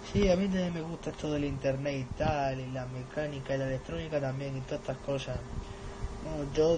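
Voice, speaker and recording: A teenage male, a novice radio operator, chatting casually on a radio contact. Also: a little high. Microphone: camera filming the radio set in room. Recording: radio static and noise behind voice.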